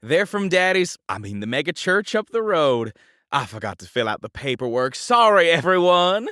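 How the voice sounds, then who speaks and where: A woman's voice: low-pitched, sing-song, moderate pace, a bit bright, dry recording, clean studio sound, pitched below the performer's own voice. A woman voicing a fumbling, apologetic young churchgoer.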